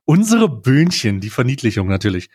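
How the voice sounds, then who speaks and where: A man's voice: medium pitch, very animated, brisk, a little mellow, husky. A man hosting a casual comedic podcast, talking in an informal, jokey, slangy way. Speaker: podcast host.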